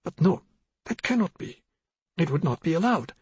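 A man narrator acts out an elderly mother in an audiobook, confused and fretful. Her voice is raised high and husky, and she rambles in apologetic, pleading phrases. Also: sing-song, moderate pace, dry recording, heavily acted. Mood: confused, wandering. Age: older.

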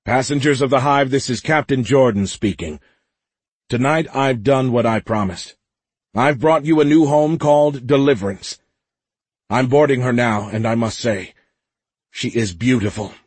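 Guy doing a smooth demagogue captain in an audiobook, formal speech to the passengers, pitched up, kinda husky. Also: moderate pace, no room sound, close to an everyday voice. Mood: grandly proud. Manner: formal, proclaiming public address. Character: commanding male airship captain addressing passengers, smooth demagogue. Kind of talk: audiobook character voice.

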